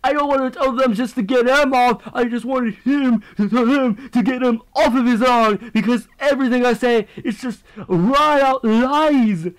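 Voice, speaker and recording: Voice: medium pitch, normal speed, hardly any pauses, lightly acted, rough recording. An amateur voice actor playing an agitated, defensive old person, protesting shrilly. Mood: indignant, agitated.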